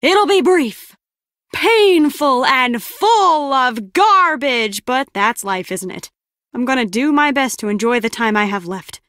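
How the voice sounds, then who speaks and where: A woman's voice: high voice, lively intonation, takes it slowly, fairly bright tone, dry recording, exaggerated voice. An actress playing a hard-nosed, sardonic female spy in a video game, with a dry, wry manner. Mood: grimly wry.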